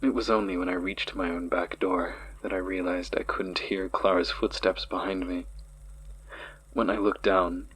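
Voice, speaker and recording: An actor playing a haunted man recalling childhood horrors in a horror audio drama, with a husky voice. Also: low voice, fairly bright tone, slight background noise, poor audio. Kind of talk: dramatic first-person horror narration.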